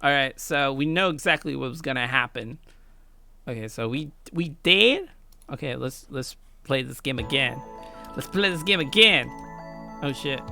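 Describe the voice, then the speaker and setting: A woman with a notably low voice hosts a casual Let's Play. Her pitch moves around a lot as she reads visual novel text and comments in playful slang. Music plays clearly behind her. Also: animated, moderate pace, sharp tone.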